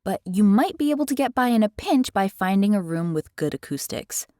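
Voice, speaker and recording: Brief: animated American woman, dry close sound, tutorial host. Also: medium pitch, brisk, no echo. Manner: chatty, animated, curious. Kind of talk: casual explainer. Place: carpeted bedroom. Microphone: headset mic.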